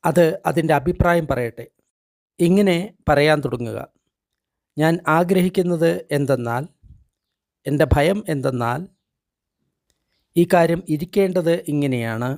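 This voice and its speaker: Male meditation guide, slightly high voice, gentle deliberate phrasing, dry close recording. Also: no echo. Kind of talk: guided meditation narration, translated text read aloud.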